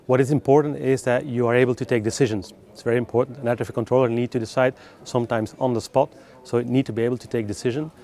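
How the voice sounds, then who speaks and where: Guy being interviewed as a recruiter, non-native english, explains stuff in a measured hesitant way, average pitch. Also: steady tone, dark tone. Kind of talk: interview answers, explanatory talk.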